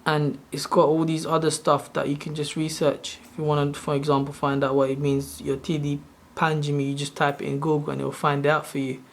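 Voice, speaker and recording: Soft-spoken guy in his 30s, kinda rambly, keeps correcting himself, pretty monotone, slightly high voice. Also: brisk, vocal fry, hardly any pauses, slight background noise. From Sri Lanka. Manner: rambling, loose and self-correcting. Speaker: channel host. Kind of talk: casual screen-share walkthrough.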